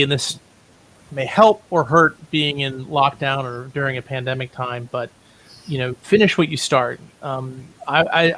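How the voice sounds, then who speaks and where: Male writer guest, mid-pitched, conversational, light hiss on remote call audio. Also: sharp tone, poor audio. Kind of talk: casual remote interview talk.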